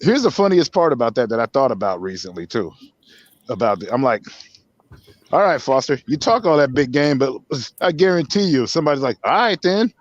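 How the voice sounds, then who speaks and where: A man's voice: mid-range voice, big pitch swings, fairly quick, dry recording, muffled recording. A man chatting casually on a live stream, joking crudely and laughing often. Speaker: stream co-host.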